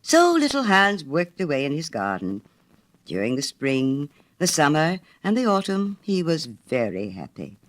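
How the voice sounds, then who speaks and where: A man narrating a children's story from a script as an expressive storyteller. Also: fairly high pitch, measured pace.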